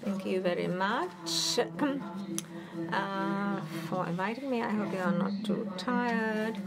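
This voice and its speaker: A woman giving a formal conference talk remotely over a video call, heard amid a loud audience. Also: mid-range voice, takes it slowly, gravelly. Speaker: speaker joining a conference remotely. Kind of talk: conference presentation. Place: hospital room, speaking into a conference hall.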